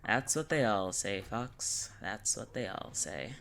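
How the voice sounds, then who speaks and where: A woman's voice: rumbling low, expressive, slow, vocal fry, crowd noise, full character voice. A woman playing a brash, jaded witch in an audio drama, speaking with wry, breezy, theatrical sarcasm. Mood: wry, showy.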